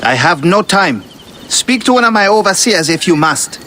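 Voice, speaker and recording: A man's voice: sits high, sing-song, moderate pace, bright, forceful tone, slight background noise, exaggerated voice. A man voicing a cautious, fearful master brewer of ancient Egypt in a video game, grave and given to storytelling. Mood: dismissive. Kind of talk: dramatic video game acting.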